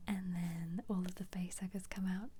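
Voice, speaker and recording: A woman speaking as an ASMR host in a gentle whisper, musing casually and without hurry as she flips through a book. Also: fairly low pitch, flat delivery, brisk, a little hiss or hum. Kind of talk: soft-spoken ASMR book flip-through.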